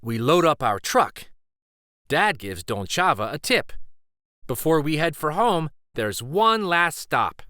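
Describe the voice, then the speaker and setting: Male children's storyteller, slow and lively, with varied pitch for picture book narration. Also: no echo, clean studio sound. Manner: deliberate, animated storytelling delivery. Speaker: children's read-aloud storyteller.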